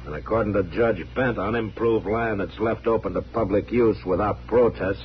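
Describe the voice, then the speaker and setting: An actor playing a stern, steady American frontier marshal in a radio western, deep and firm, giving terse, unhurried commands. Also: male voice, natural voice. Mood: calm, unyielding. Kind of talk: 1950s radio western acting. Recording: muffled, noisy old 1950s radio recording.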